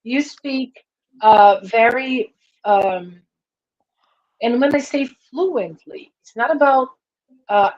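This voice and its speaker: A woman's voice: on the high side, slow pace, pauses often, darkish tone. A woman from Brazil with a Brazilian accent, an English teacher chatting casually on a live stream and often restarting her sentences. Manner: hesitant, repeats words and restarts. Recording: dull lo-fi stream audio.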